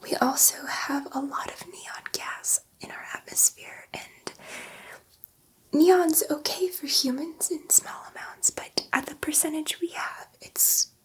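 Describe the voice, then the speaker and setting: A gentle, excitable female alien scientist, played by a woman, in a sci-fi ASMR roleplay. She whispers softly and breathily at a very unhurried pace, in a high register. Her delivery is halting and coaxing, meant to reassure a human test subject. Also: rough recording. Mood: earnest, confiding.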